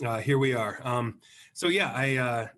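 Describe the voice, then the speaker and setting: A man with a hoarse, fading voice from the flu, explaining technical ideas as a guest expert on a webinar, clearing his throat now and then. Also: mid-range voice, unhurried, fairly bright tone, slight background noise. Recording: remote webinar audio.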